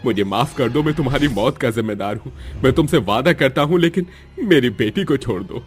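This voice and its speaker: A man's voice: mid-range voice, lively intonation, brisk, soft background music, close to an everyday voice. A man playing a begging railway official. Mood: pleading. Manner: begging, apologetic. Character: remorseful middle-aged male railway official.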